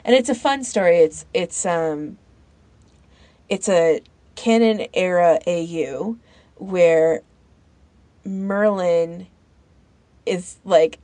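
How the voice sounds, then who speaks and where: A woman with a slightly low voice talks informally, as one of a podcast panel. She thinks aloud and halts as she goes. The sound is very dry, with no room around it. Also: drawn-out words, long pauses, warm tone, no room sound. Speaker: podcast panellist recommending fan audio fiction. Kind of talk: casual chat.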